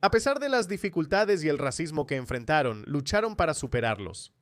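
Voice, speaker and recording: A man's voice: a little high, big pitch swings, a little fast, a bit bright, slight background noise. A man presenting a scripted political commentary monologue in a polemical, sardonic manner. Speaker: commentator and host of opinion video.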